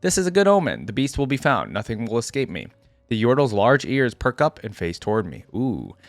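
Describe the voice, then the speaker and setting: A man reading a first-person storybook tale as a proud, confident jungle hunter, in a measured, solemn way. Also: mid-range voice, huge pitch swings, dry recording. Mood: determined. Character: proud, confident jungle hunter narrating his own hunt.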